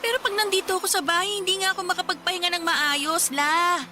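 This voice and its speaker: A woman's voice: very high voice, fairly even intonation, fast, noisy background. A woman playing a sullen, resentful young mother in a Filipino radio drama, whining and talking back defiantly. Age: young adult. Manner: whiny, complaining, talking back.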